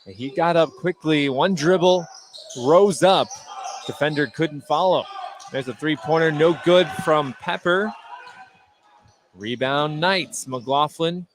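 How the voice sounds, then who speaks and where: A man with a slightly high voice delivers live basketball play-by-play for radio. Clear gymnasium noise and bouncing balls sit behind him as he tracks each pass and shot. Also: slow. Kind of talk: live sports radio commentary. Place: indoor high school gym during a game. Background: game noise, bouncing basketballs.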